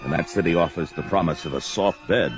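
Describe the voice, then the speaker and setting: An actor playing a fearless, gruff barbarian warrior with a commanding, taunting and grandly archaic way of talking, in a fantasy audio drama. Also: male voice, deep voice, brisk, natural voice. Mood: weary, stubborn. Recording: dull lo-fi audio drama, music behind.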